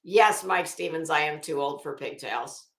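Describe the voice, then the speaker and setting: A woman's voice: low-pitched, normal speed, a bit bright. A middle-aged woman chatting casually as a livestream host, greeting viewers with teasing, salty banter. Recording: echoey room.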